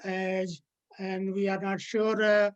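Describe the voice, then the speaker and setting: A seventy-six-year-old woman giving measured personal answers in an interview. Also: medium pitch, flat delivery, highs cut off.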